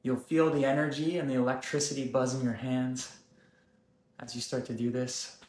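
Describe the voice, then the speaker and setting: A man in his thirties hosting a live wellness stream, calm and gently guiding, with slow deliberate phrasing. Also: medium pitch, reverberant. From South Africa, raised in Canada. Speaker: wellness host. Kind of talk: live-stream coaching chat. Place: room indoors.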